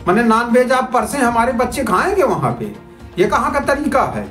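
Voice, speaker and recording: A man's voice: very high voice, very animated, moderate pace, fairly bright tone. A man giving informal, argumentative commentary to viewers, repeating himself and posing rhetorical questions. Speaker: citizen journalist presenting a video report. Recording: roomy echo with music underneath.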